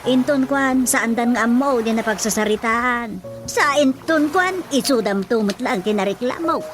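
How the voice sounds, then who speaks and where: A woman's voice: a little high, normal speed, lightly acted. An actress with an Ilocano Filipino accent playing a frank, motherly older village woman in a radio drama. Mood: advising. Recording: music bed behind the voice.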